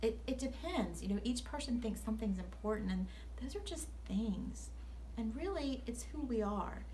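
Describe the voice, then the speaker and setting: A woman's voice: fairly high pitch, animated, moderate pace, warm tone, slight background noise, rough recording. A woman teacher speaking to children at story time in an earnest, instructive way.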